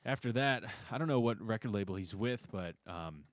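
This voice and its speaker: A man chatting casually as a musician guest on a podcast, with a hesitant, halting delivery. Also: lowish voice, lively intonation, dry recording. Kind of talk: casual chat.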